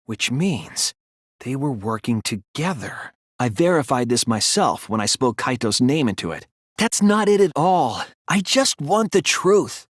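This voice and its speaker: A young male detective in a dramatic English game dub. His medium-pitched voice moves expressively as he reasons with earnest, quiet resolve. The delivery is articulate and deliberate, recorded completely dry. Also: no room sound. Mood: urgent, insistent. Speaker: video game voice actor.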